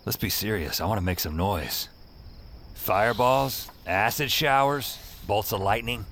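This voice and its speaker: An actor playing a wry, deadpan novice magic user in an audio drama, both in dialogue and narrating his own story. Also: on the low side, a little slow, a little hiss or hum. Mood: eager, skeptical.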